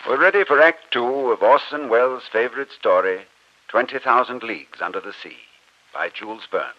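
A man's voice: medium pitch, animated, normal speed, highs cut off, slight background noise. A man announcing a radio drama in a scripted old-time broadcast style.

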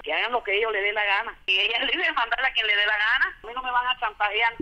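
A woman's voice: a little high, fast talker, sharp tone, muffled recording. An older woman from Cuba giving a defiant, plain-spoken account as an interviewee over the phone. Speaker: dissident interviewee.

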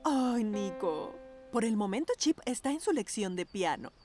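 A woman voicing a gentle mother pug in a cartoon, speaking in a motherly way. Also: mid-range voice, very animated, unhurried. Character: warm mother pug, gentle adult female. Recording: studio voice with children's music behind.

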